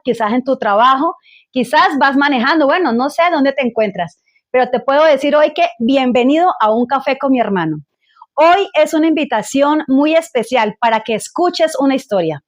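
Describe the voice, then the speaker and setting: A woman pastor hosting a church talk show interview, effusive and often restarting her sentences. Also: sits high. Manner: effusive, restarts and repeats words. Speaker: host pastor.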